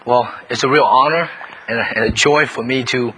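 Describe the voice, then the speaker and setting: Hoarse male pastor preaching. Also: mid-range voice, fairly bright tone, a little hiss or hum, rough recording. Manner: speaks in short phrases, pausing for translation. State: hoarse, losing his voice. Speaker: guest pastor. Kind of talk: sermon delivered through an interpreter.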